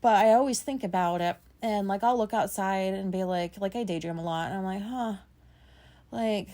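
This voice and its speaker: Raspy woman rambling casually. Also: mid-range voice, moderate pace, dark tone. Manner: rambling, many "like" fillers, casual swearing. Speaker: podcast host. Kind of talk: casual solo podcast monologue.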